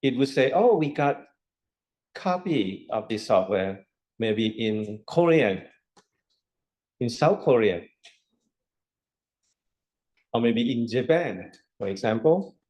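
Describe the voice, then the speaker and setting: A man's voice: mid-range voice, expressive, slow pace, darkish tone. A man lecturing a university class, explaining step by step with rhetorical questions and repetition. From Thailand.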